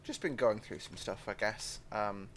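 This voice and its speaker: A man's voice: lowish voice, a little slow, fairly bright tone, slight background noise. A young British man giving rambling, chatty commentary while driving a train simulator, with frequent apologetic asides. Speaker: gaming channel host. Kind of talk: casual train-sim let's-play commentary.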